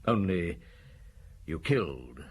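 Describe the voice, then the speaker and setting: An actor playing a blunt, probing middle-aged police inspector in a radio drama. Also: male voice, sits low, very animated, slow, breathy edge, heavily acted. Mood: stern.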